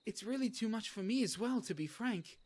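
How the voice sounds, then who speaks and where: A woman voicing a confused, awkward gentleman with a British accent in an animated comedy mystery. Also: fairly high pitch, a little fast, a crowd in the background, natural voice. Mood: awkwardly sympathetic. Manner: blunt, awkward, easily flustered.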